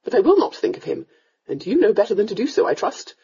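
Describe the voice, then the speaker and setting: A woman's voice: sing-song, fast talker, dark tone, dull audio, a little hiss or hum. A woman voicing a fussy, domineering countess scolding her daughter in a storybook reading. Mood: commanding. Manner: scolding, shrill, melodramatic.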